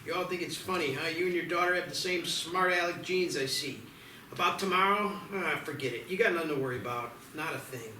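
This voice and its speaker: A man reading the part of a gruff, wisecracking but devoted sheriff and husband in an amateur screenplay table read, plain-spoken and wry. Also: on the high side, brisk, pushed and bright. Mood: wry, reassuring. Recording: echoey, noisy, distant microphone.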